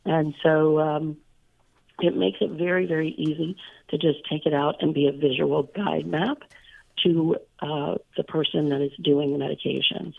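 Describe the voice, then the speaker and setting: Raspy hoarse woman on a phone line. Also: deep voice. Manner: chatty, many fillers. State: hoarse, recovering from illness. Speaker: radio co-host. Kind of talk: casual radio chat.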